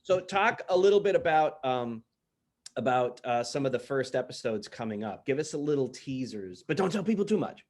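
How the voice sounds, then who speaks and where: Male podcast host, average pitch, informal interviewing style with tongue-in-cheek teasing. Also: animated, normal speed, darkish tone. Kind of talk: casual, jokey interview chat.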